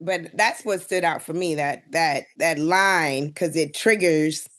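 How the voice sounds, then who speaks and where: A woman's voice: low voice, slow pace, a bit bright. A woman chatting casually as a panelist, candid and colloquial, with some salty language. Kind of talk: casual chat.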